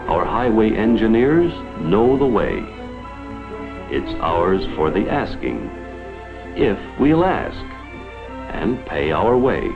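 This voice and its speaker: A man's voice: low voice, full character voice. An American man delivering scripted 1950s promotional film narration in an earnest, oratorical, dramatic manner. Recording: vintage 1950s film soundtrack, muffled.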